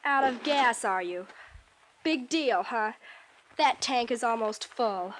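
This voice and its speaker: An American woman playing a perky, sharp-witted young ranch girl in a 1950s radio drama, breezy and frank. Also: fairly high pitch, expressive, slow pace, noisy background. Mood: teasing, knowing. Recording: 1950s radio broadcast recording.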